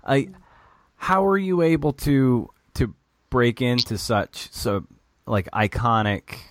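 A man's voice: medium pitch, big pitch swings, slow, no room sound, clean studio sound. A male podcast host asking long, hesitant questions in a casual interview, with many "you know" and "like" fillers.

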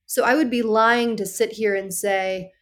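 A woman in her thirties speaks at an ordinary middle pitch. She is blunt and direct, yet supportive as she answers a friend's worry. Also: fairly even intonation, a little slow. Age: 30s. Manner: direct and frank, reassuring. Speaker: podcast guest. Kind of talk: casual conversation.

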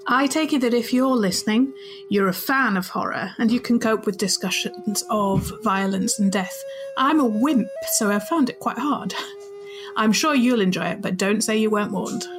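A woman from Leeds with an English accent, mostly southern with faint Yorkshire traces, hosting a podcast in an informal, giggly, expressive way. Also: mid-range voice, moderate pace, music in the background. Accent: English, mostly southern with faded Yorkshire traces. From Leeds, Yorkshire, England. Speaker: podcast host. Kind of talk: chatty podcast interview.

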